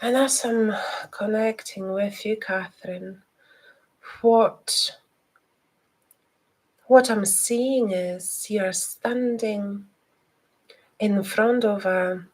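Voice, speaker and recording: A woman who learned English as a second language talks slowly, and a faint Scottish twang colors her words. She hesitates constantly, with ums and restarts. When she leads a reading, her voice turns soft and guiding. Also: medium pitch, fairly even intonation, low-quality recording. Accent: non-native English with a slight Scottish twang. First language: not English. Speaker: psychic reader and live stream host. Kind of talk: live stream chat and guided reading.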